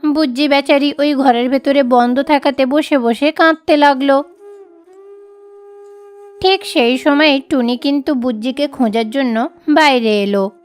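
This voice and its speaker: High-voiced woman narrating a children's story.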